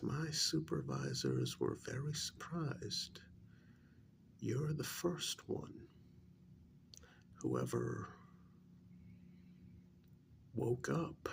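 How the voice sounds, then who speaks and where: A man playing a polite, composed agent interrogating a captive in a soft-spoken ASMR role-play, hesitant and measured, a little patronizing. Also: medium pitch, gravelly, background noise, full character voice.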